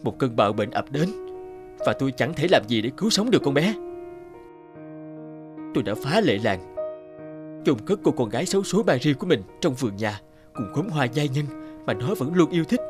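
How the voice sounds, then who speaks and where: A man voicing a grieving but determined village father pleading his case in an animated folktale. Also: medium pitch, moderate pace, long pauses, music in the background, lightly acted. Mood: sorrowful, pleading.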